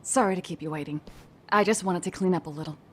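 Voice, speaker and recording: An actress playing a guarded mother with a slightly husky voice, politely prickly and restrained in a video game cutscene. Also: lowish voice, fast talker, a bit bright, slight background noise, natural voice. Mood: polite, defensive. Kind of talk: English-dubbed video game cutscene acting.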